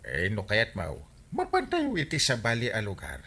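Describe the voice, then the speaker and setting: An actor playing a comic, stammering village captain with an Ilocano accent in a radio comedy drama. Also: male voice, fairly low pitch, wildly swooping pitch, moderate pace, vocal fry, sharp tone, breathy edge, exaggerated voice. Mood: defensive. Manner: stammering, sputtering with repeated interjections. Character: comic excitable village captain, husband who likes gallivanting.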